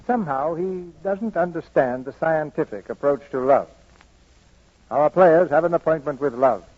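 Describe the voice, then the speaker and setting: Male radio host, husky voice, slightly high pitch, polished scripted narration, vintage style, dull old recording. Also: moderate pace.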